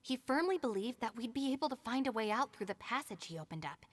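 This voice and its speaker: A woman voicing a level-headed, long-suffering young female gang deputy in a video game, matter-of-fact and measured. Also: on the high side, lively intonation. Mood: calm, reassuring. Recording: music under the voice.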